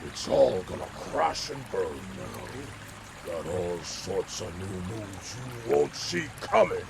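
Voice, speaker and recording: A man voicing a brutish, taunting robot henchman in a video game, with a menacing gravelly growl. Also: low-pitched, big pitch swings, noisy background, heavily acted. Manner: menacing, drawn-out threats. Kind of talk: video game cutscene acting. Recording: loud music behind the voice.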